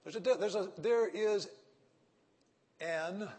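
Husky-voiced Texan man lecturing in a hall. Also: high-pitched, takes it slowly, a little mellow. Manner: argumentative, checks in with frequent "right?" and "okay?". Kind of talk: ethics lecture. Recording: echoey hall.

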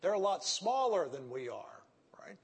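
A man with a Texan accent lectures, pressing an argument one step at a time and often stopping to ask "right?" or "okay?". His voice sits high for a man and sounds slightly husky. It carries a long, ringing reverb from a large hall. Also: big pitch swings, normal speed. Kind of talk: ethics lecture. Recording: echoey hall.

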